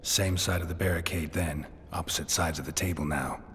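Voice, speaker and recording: An actor playing a gruff, hardened, sardonic monster hunter held prisoner in a video game, with a dry deadpan delivery. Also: male voice, low voice, fairly quick, big-room sound, noisy background, natural voice. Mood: sardonic defiance. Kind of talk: dramatic video game acting.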